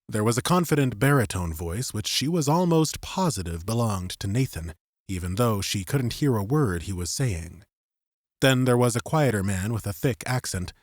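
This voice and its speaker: A man narrating scripted fiction as an audiobook, with measured, suspenseful storytelling. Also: mid-range voice, darkish tone, no room sound, studio quality.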